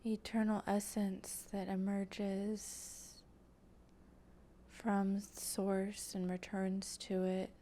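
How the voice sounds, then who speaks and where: A woman leading an improvised guided meditation in a soft, breathy voice that often slips toward a whisper, speaking gently and haltingly with long pauses. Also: mid-range voice, little pitch movement, a little hiss or hum. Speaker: guided meditation host and channeler.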